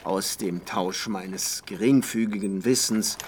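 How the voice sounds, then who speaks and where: An actor playing a pompous, chatty academic who savours ornate, learned phrases in a German literary radio drama. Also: male voice, on the low side, takes it slowly, noisy background. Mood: grave, pompous. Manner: ornate, hesitant, savouring his own erudite phrases.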